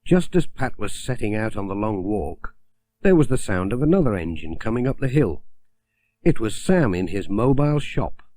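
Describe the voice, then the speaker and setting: A man's voice: on the low side, very animated, normal speed, mellow. A man narrating a children's story from a script, as an audiobook reader.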